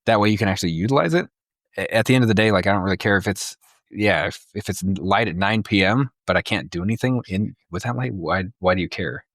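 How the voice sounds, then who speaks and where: A man with a fairly low voice co-hosts a podcast. He talks casually and explains his point conversationally, miked close with very little room sound. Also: quick pace, a bit bright, no echo. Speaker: podcast co-host. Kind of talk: casual chat. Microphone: close mic on a group remote call.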